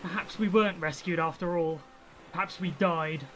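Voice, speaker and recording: Woman as a lowish-voiced, bewildered space traveller. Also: normal speed, fairly bright tone, close to an everyday voice. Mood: sceptical. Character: sceptical, bewildered, polite reluctant space traveller. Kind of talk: comic sci-fi audio drama acting.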